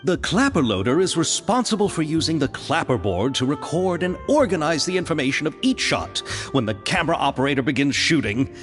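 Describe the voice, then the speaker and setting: In a long reverb with faint music, a high, lively young man's voice plays an earnest game character. He is flustered and eager to please, swinging widely in pitch as he hesitates. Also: a little fast, few pauses. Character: nervous, earnest, overgrateful young male filmmaker. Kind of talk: video game voice acting.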